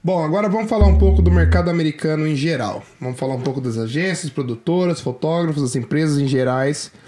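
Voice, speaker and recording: A young man with a Brazilian accent chats straight to his viewers, loose and full of slang. His voice sits a little high for a man, and he pushes his points with animated energy. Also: quick pace, faint music behind. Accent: Brazilian Portuguese. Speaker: vlogger giving career advice. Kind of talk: casual advice talk.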